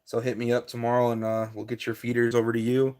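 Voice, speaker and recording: A man's voice: medium pitch, monotone, fast talker, a little mellow, clean tone. A man, hosting a casual live stream and answering his viewers' chat in a laid-back way, with lots of fillers.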